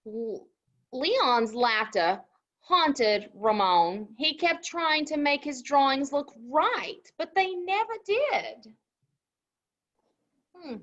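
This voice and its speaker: A woman's voice: high voice, big pitch swings, very slow, fairly bright tone. A woman co-hosting a children's story show, reading aloud and chatting between passages. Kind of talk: children's read-aloud with chat. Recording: muffled lo-fi audio.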